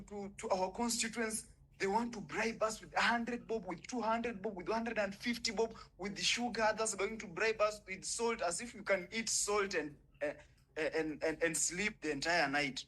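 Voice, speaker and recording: A man's voice: high-pitched, normal speed, bright, forceful tone, rough recording. A young man with a Kenyan accent, giving an impassioned, indignant speech in an online audio room. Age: young adult. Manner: fiery, indignant, swearing. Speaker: caller in an online audio space.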